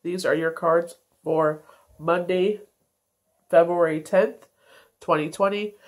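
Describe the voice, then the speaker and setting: A woman's voice: lowish voice, fairly even intonation, takes it slowly, slight background noise. A congested woman giving a casual daily tarot reading, meandering with many fillers and self-corrections. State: congested, stuffy nose. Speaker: tarot reader.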